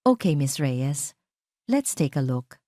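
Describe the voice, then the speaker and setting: Woman acting as a dark-voiced, attentive female doctor. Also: a bass voice, very animated, slow pace, dry recording, studio quality, exaggerated voice. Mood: gentle, attentive. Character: female clinic doctor, professional, quietly amazed. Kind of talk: audio drama character dialogue.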